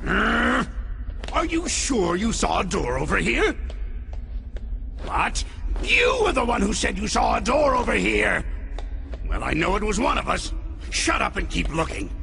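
Mad scientist game villain, pompous, high-pitched for a man, gravelly, theatrical bluster. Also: huge pitch swings, a little slow, pauses often, pushed and bright, faint music behind, heavily acted. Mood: irritated. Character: pompous, bickering middle-aged male mad scientist villain. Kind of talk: video game cutscene acting.

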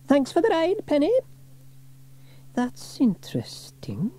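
Storybook shopkeeper, voiced by a man: nosy, tart, grumbling woman, high but mellow. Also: wildly swooping pitch, slow, exaggerated voice.

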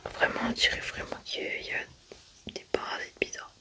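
Young woman, whispered voice, ASMR scientist roleplay, hushed step-by-step commentary, hesitant. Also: moderate pace. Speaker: ASMR creator playing a scientist.

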